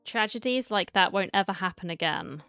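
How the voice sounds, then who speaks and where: A woman's voice: mid-range voice, animated, normal speed, pushed and bright, smooth. A woman doing a casual live game reaction as a streamer. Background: faint game music.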